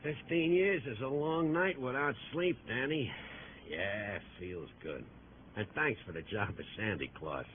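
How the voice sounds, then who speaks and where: An actor playing a weary, wry ex-convict dressed as Santa in an old radio drama, gruff and wisecracking. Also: male voice, mid-range voice, a little slow, slight background noise, lightly acted. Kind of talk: 1940s radio acting. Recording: tinny old radio broadcast.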